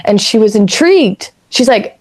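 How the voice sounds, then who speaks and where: A raspy-voiced woman in her thirties from Canada, co-hosting a podcast in rambling, casual chat that drifts into spiritual talk and easy swearing. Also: on the high side, animated, moderate pace, darkish tone. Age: 30s. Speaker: podcast co-host.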